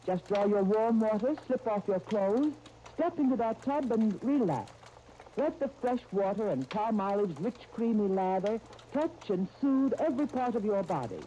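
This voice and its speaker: A woman's voice: medium pitch, animated, moderate pace, mellow. A woman announcer reading a persuasive 1930s radio advertisement, coaxing and intimate in her direct address. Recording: dull, noisy 1939 radio disc.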